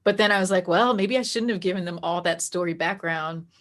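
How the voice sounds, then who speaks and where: A woman chatting casually as an interview guest, animated and quick to laugh, with a storyteller's way of talking. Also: on the low side. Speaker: interview guest, tabletop game master. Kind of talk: casual interview chat, telling anecdotes.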